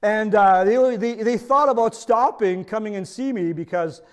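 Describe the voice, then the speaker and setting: A man's voice: high voice, moderate pace. A middle-aged man with a French accent, whose first language is French, preaching a sermon as pastor. He speaks earnestly and often turns to the congregation with questions.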